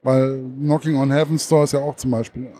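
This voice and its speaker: A man's voice: medium pitch, little pitch movement, fairly quick, dark tone, a little hiss or hum. A man interviewing a guest at a book fair, asking hesitant questions with many fillers and repeated words.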